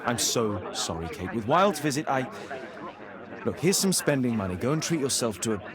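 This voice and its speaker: Man acting as animated, exasperated detective. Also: medium pitch, moderate pace, a crowd in the background, close to an everyday voice. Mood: flustered, apologetic. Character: proud, suspicious English detective, exasperated middle-aged guardian of a girl. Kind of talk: dramatic video game voice acting.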